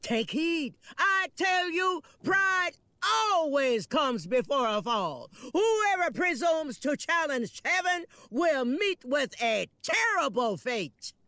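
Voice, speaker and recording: An actress voicing a preachy woman who cries doom and shouts warnings in a game cutscene. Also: high-pitched, sing-song, takes it slowly, hardly any pauses, no echo, heavily acted. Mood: preaching doom. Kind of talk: game voice acting.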